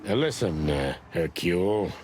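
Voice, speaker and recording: A man's voice: low voice, expressive, a little slow, a little mellow, a little hiss or hum. A man playing a tough, laconic rooster police detective in a noir adventure game, with a dry hard-boiled manner. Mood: friendly, dry.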